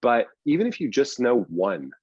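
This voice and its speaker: A man's voice: mid-range voice, very animated, moderate pace, darkish tone, breathy edge, dry recording, muffled recording. A man speaking casually as an interview guest, explaining things in loose runs with repeats for emphasis. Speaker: writer and producer, interview guest.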